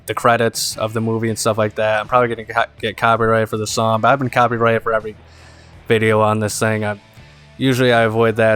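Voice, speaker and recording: A man's voice: on the low side, fairly bright tone. A young man giving casual, rambling game commentary as an enthusiastic fan hosting his own video. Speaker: gaming video host.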